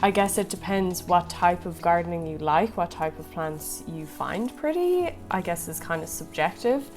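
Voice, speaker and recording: Low-voiced chatty young woman vlogger. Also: animated, normal speed, a little mellow, faint music behind. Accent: slightly American-tinged Irish. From Ireland. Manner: rambling, light laughs, filler-heavy. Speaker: plant vlogger. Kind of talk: chatty Q&A while repotting.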